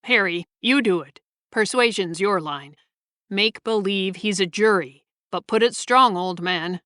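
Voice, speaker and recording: A woman voicing a brisk, commanding male surgeon in a short story reading. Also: medium pitch, dry recording, studio quality, close to an everyday voice. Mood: urgent. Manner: brisk, commanding, later gentle. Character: calm authoritative male surgeon.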